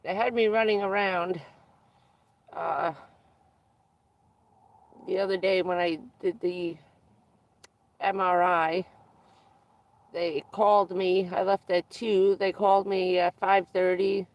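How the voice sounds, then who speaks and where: A livestreamer chatting casually with viewers, stuffed up and losing their voice, who keeps clearing their throat. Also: medium pitch, level tone, dull audio. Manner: frequent throat clearing, unhurried, rambling. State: congested and losing voice. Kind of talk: casual live stream chat with viewers.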